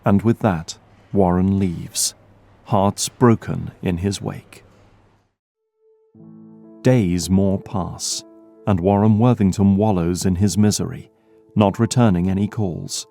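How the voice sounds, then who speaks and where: A man's voice: sits low, steady tone, takes it slowly, gravelly, long pauses, mellow, soft background music. A man reading scripted dramatic narration as the narrator of an audio drama.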